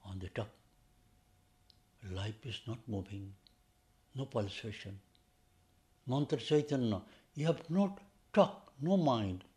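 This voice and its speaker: A man leading a guided meditation in repetitive, incantatory phrases that swell and fall in loudness. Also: mid-range voice, expressive, slow, long pauses. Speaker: meditation teacher.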